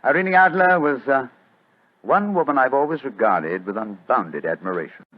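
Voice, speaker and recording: An actor playing a brisk, self-assured British gentleman detective with clipped, theatrical delivery in 1940s radio drama. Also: medium pitch, expressive, lightly acted. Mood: wistful, brisk. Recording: muffled vintage radio recording.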